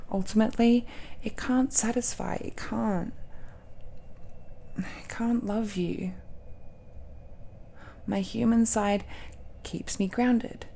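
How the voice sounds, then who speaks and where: A woman's voice: medium pitch, creaky, mellow. A woman playing a tender, possessive dragon-descended girlfriend in an intimate romantic audio roleplay, speaking hesitantly and breathily, often near a whisper. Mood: earnest, wistful.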